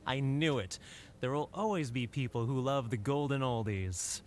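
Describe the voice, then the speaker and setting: A man's voice: mid-range voice, normal speed, slight background noise, lightly acted. A man voicing a self-assured storyteller fond of old tales, with a grandiose manner, in an anime-style video game. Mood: proud. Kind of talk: scripted anime game dialogue.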